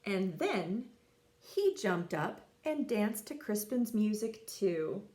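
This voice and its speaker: A woman reading a children's story expressively, with theatrical delivery and dramatic pauses. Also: mid-range voice, very animated, darkish tone. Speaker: storybook reader.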